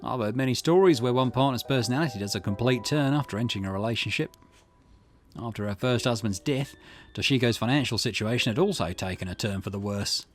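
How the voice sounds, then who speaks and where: A man reading video game dialogue aloud in an expressive way, with the occasional small stumble. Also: mid-range voice, fast talker, soft background music. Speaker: gaming channel host reading a visual novel aloud.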